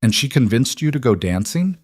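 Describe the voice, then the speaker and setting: A man's voice: medium pitch, sing-song, normal speed, darkish tone, dry recording, clean studio sound, pitched above the performer's own voice. A man voicing an intense mafia boss in an audio romance, direct and earnest, a little socially awkward.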